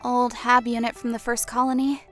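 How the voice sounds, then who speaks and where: A woman narrator acts out a meek young alien woman with a high, shift+ voice. She is timid and submissive, speaking hesitantly as an audiobook character over faint music. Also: level tone, normal speed, close to an everyday voice. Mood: uneasy, puzzled. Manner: hesitant, meek, brief replies.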